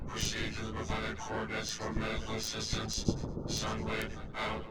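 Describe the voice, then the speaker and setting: A man voicing an impassive robot communications officer in an audio drama, formal, clipped and monotone. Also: lowish voice, normal speed, distant mic, noisy background, lightly acted.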